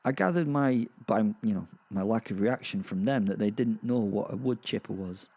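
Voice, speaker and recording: A man playing a gleeful, foul-mouthed werewolf who narrates a dark comic horror audio drama in a rambling, conversational, sardonic way. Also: on the low side, normal speed. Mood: wry, bemused. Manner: rambling, sardonic, sweary asides with sighs and chuckles. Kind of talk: first-person storytelling, conversational dark comedy.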